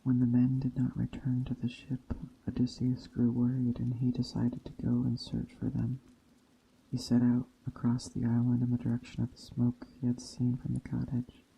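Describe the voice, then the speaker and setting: A man reading a story aloud in a soft ASMR style, slowly and deliberately, in a hushed bedtime storytelling manner. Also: mid-range voice, monotone, creaky, slight background noise. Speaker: storyteller, narrator. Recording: dull muffled sound, faint background ambience. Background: soft nature ambience.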